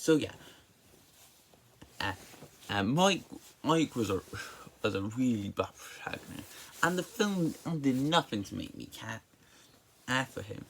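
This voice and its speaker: A casual reviewer delivers an opinionated monologue with emphatic exasperation. The speech stumbles often, with words and syllables repeated. The pitch is very animated, rising and falling widely. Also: slightly rough. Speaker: movie reviewer.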